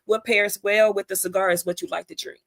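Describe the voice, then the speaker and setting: A woman's voice: medium pitch, steady tone, a little fast, fairly bright tone. An American woman talking casually as an interview guest, explaining things in a chatty, conversational way. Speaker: interview guest, author and cigar expert.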